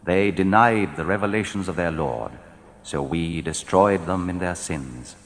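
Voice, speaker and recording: A man reading translated scripture in a solemn, measured, declamatory style, letting his phrases fall at the end. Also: low voice, fairly bright tone. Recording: long hall reverb.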